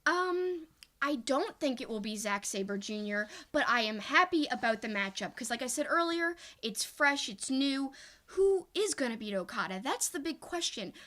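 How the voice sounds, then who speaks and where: A woman's voice: high-pitched, animated, normal speed, a bit bright. A woman co-hosting a talk podcast, giving casual, opinionated sports commentary in an emphatic, fan-like way. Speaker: podcast co-host.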